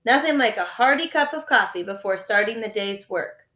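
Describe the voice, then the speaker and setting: Woman reading as a brisk male doctor, echoey. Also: mid-range voice, animated, sharp tone. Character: brisk tall, thin male doctor, self-important. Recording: echoey room.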